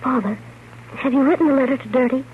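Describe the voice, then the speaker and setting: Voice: high voice, fast talker, husky. An actor playing an earnest, naive little boy in a 1930s radio drama. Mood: pleading. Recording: muffled, noisy old radio broadcast.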